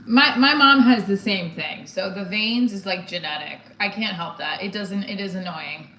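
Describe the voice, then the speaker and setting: A woman in her forties talks off the cuff to a livestream in a blunt, candid way. She rambles and often abandons a sentence midway. Her medium-pitched voice sits in an echoey room with slight noise behind it. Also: moderate pace, sharp tone. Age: 40s. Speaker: stand-up comedian livestreamer. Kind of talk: casual livestream chat. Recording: roomy echo, some background noise.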